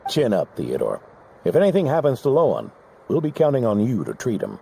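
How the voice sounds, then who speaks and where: A man voicing a stern, gruff older male knight, speaking in a gravelly voice. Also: mid-range voice, huge pitch swings, fairly quick, mellow, a little hiss or hum.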